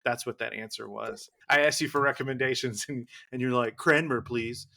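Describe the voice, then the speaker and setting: A man hosting a podcast in casual conversation, rambling through his points and quick to tease his guest with jokes. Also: mid-range voice, vocal fry, pushed and bright. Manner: rambling, teasing, quick to joke. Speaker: podcast host.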